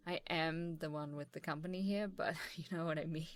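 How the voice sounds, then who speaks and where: A woman playing a warm, supportive, easygoing boss in a casual, encouraging ASMR role-play. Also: low voice, steady tone, fairly quick, lightly acted. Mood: fond, amused. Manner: encouraging, casual, chuckling hesitantly between phrases. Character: supportive, warm, easygoing female boss giving a praising review. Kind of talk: ASMR role-play, softly conversational one-sided dialogue.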